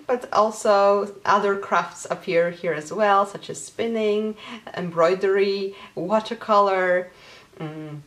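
A woman in her thirties from Poland, hosting a knitting podcast in casual, chatty talk to camera from a small home craft room. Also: mid-range voice. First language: Polish. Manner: chatty, with many fillers and small laughs.

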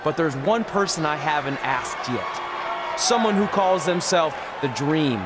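A man's voice: fairly high pitch, a little slow. A man voicing a determined young male wrestler in a video game, giving an earnest speech to the crowd. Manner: earnest, declamatory ring speech. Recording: game audio with steady background noise.

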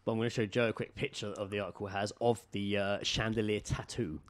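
A man's voice: fairly low pitch, level tone, quick pace. A man with a British accent chatting casually as a podcast host, jokey and sweary as he reads out odd news stories.

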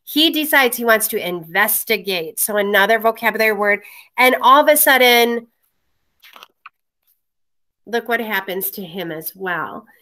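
A woman's voice: medium pitch, animated, a little slow, pauses often, pushed and bright. A woman school media teacher presenting informally to colleagues on a video call from a small room, through a laptop mic. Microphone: webcam or laptop mic.

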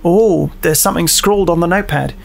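A man's voice: on the high side, fast, vocal fry. A man hosting a gaming video with casual, jokey, sweary commentary, muttering to himself and reading on-screen text aloud. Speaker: gaming video host playing a horror game.

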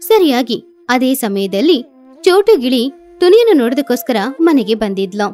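A woman narrates a children's story in a high, swooping voice. Her scripted delivery is animated, and music plays beneath it. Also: fairly quick.

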